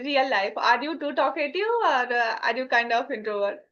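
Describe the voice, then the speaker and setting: A woman's voice: sits high, moderate pace, a bit bright, muffled recording. A woman with an Indian accent and Hindi as her first language, hosting a casual chat in simply phrased learner English. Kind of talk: casual conversational interview.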